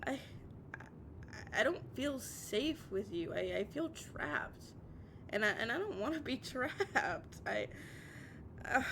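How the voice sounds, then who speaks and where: A woman playing a heartbroken, obsessive young woman in an intimate audio roleplay, pleading over the phone in halting, stammered, repeated phrases. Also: creaky. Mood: vulnerable, anguished. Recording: noisy recording with low rumble.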